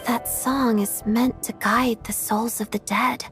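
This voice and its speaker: A gentle, inquisitive young woman in a game cutscene. Her voice sits fairly high and she questions things in a reasoned way, over faint music. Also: steady tone, slow pace. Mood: curious, serious. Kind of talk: video game cutscene voice acting.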